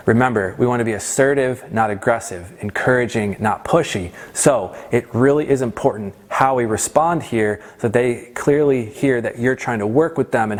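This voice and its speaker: A man giving an instructional talk as a sales trainer, conversational and emphatic, often checking in with the listener. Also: mid-range voice. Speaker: sales trainer presenting a course. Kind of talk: instructional presentation with role-played example lines.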